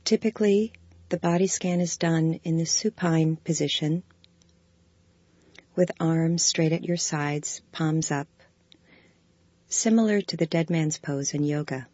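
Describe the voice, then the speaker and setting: A woman with a notably low, deep voice guides a body scan meditation in slow, soft, calm phrases. The recording is dry and close, with a slightly dull, muffled tone. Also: dry recording.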